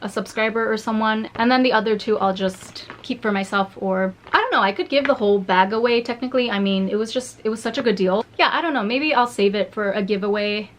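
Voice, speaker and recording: A woman vlogging a casual beauty haul from home, chatty and rambling with many fillers and restarts, her voice slightly hoarse. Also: mid-range voice, brisk, hardly any pauses, a bit bright.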